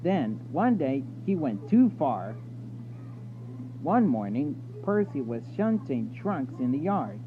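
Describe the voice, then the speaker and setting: A man narrates a children's storybook in a highish, very animated voice. The recording is thin and phone-like, with loud noise and hum behind him. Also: slow, faint music behind. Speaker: story reader.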